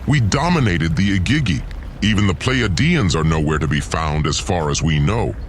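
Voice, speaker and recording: A man's voice: low-pitched, expressive, moderate pace, slight background noise, pitched below the performer's own voice. A man voicing an arrogant, cold ancient god who declaims with pompous scorn in an audio drama. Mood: contemptuous.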